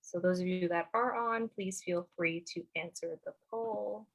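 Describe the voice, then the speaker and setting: A woman's voice: lowish voice, measured pace, gravelly. A woman hosting an online community info session in a plain announcing way, switching between two languages. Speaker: event host. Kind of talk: bilingual event moderation.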